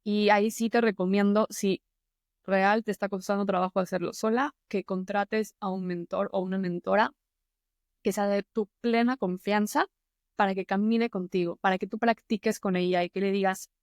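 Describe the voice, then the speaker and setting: A woman's voice: mid-range voice, steady tone, normal speed, dry recording, clean studio sound. Earnest Mexican woman giving advice. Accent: Mexican Spanish. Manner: earnest, encouraging, conversational. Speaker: YouTube host and public-speaking mentor. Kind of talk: motivational advice to camera.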